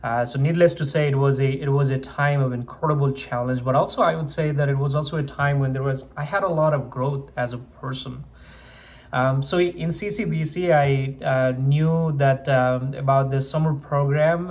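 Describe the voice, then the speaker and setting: A man's voice: medium pitch, darkish tone. Man, twenties, from Nepal, earnest, personal vlog, filler-heavy, restarts sentences. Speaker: medical student vlogger. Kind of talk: personal story told to camera.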